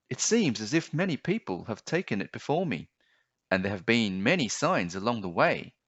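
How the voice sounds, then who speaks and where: A man's voice: medium pitch, a bit bright, dry recording, lo-fi sound, pitched above the performer's own voice, exaggerated voice. A man voicing a young boy in a storybook, earnest, hesitant and full of wonder. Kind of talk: storybook character voice.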